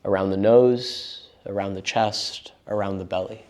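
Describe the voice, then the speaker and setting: Gentle male meditation teacher. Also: lowish voice, fairly even intonation, a little slow. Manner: gentle, unforced, reassuring. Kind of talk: guided meditation instruction.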